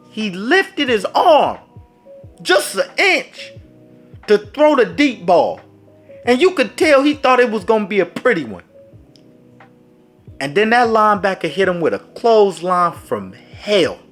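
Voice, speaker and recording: A man telling a dramatic sports story in a casual storytime vlog, talking straight to his viewers. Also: high-pitched. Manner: dramatic, animated, speaks to viewers directly. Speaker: storyteller vlogger. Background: faint background music.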